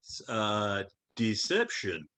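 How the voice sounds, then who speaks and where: A man's voice: lowish voice, wildly swooping pitch, slow, sharp tone. A man playing in a tabletop roleplaying game, speaking hesitantly in casual talk and stammering through restarts before he gets to his point. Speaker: player.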